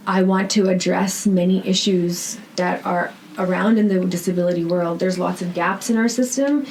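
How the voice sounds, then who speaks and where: Podcast guest: Canadian woman, slightly low register, earnest and emphatic, conversational delivery, faint noise behind her. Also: a little mellow, rough recording. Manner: earnest, emphatic, often ending points with "right?". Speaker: podcast guest, founder of a rehab centre. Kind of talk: conversational interview answers. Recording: noticeable background noise.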